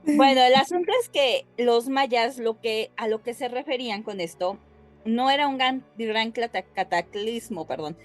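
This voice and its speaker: A woman with a Mexican Spanish accent co-hosting a podcast, explaining things casually and haltingly as she addresses her listeners. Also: a little high, soft background music. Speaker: podcast co-host.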